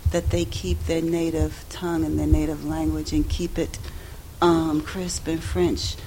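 A woman's voice: sits low, flat delivery, unhurried, dark tone, slight background noise, rough recording. A woman in the audience asking a long, rambling question, repeating words as she searches for what to say.

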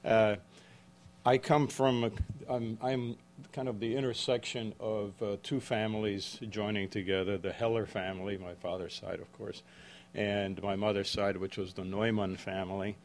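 A man in his seventies, from the Czech Republic, giving a book talk to a live audience in a halting way with frequent restarts and self-corrections. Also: on the low side, little pitch movement, a little hiss or hum. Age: 70s. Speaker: author. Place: event room with an audience.

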